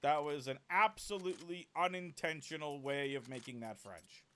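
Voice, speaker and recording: A man casting an esports match solo, with a deep voice, calling the plays and chatting with viewers, and excitable enough to build to shouts on big plays. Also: normal speed. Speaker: esports caster. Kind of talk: solo play-by-play esports commentary.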